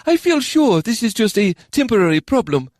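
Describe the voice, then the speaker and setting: Brief: narrator voicing an uneasy elf bureaucrat, formal and stiff, much higher than his own voice. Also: sing-song, normal speed, a little mellow, no room sound, clean studio sound. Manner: formal, throat-clearing.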